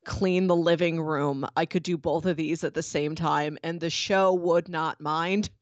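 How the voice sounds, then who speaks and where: A woman's voice: low-pitched, fairly even intonation, unhurried, no room sound, lo-fi sound. A woman hosting a podcast, chatting casually and enthusiastically as she reviews a TV show. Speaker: podcast host.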